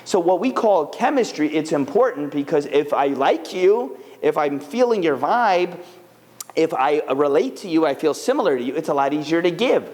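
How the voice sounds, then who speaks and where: A man's voice: a little high, moderate pace, long reverb tail. A man talking casually and animatedly to a live audience, answering questions. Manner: chatty, animated, full of fillers.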